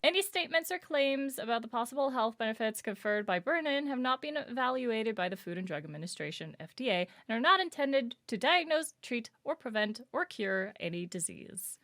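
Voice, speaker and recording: A woman's voice: a little high, expressive, a little fast, few pauses, noisy background. A woman reacting on a livestream in a casual, playful way, talking back to the video she watches. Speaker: streamer reacting to a horror series.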